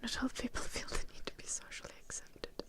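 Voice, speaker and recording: A woman from Finland hosting a live ASMR stream, whispering softly to her chat in English with a light Finnish tinge, close to the microphone. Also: fairly quick. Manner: soft whisper, reading chat names. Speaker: ASMR livestream host.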